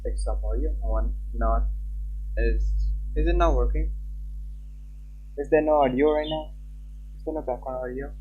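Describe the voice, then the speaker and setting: A man's voice: mid-range voice, fairly even intonation, moderate pace, pauses often, husky. Young man streaming, dull lo-fi sound. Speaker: game streamer. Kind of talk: casual live-stream chat and reading game text aloud. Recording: dull lo-fi mic with background music. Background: game music.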